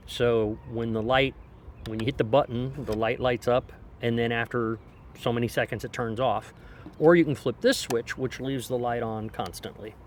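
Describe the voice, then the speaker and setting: A man talks through a product hands-on in a casual, explanatory style. His pitch sits in a middle range for a man. He is recorded outside on a deck, with light ambient noise behind him. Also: fairly even intonation, moderate pace. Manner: informal, explanatory. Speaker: product reviewer. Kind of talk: casual hands-on gadget review. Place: outdoors on a back deck. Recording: outdoor background noise.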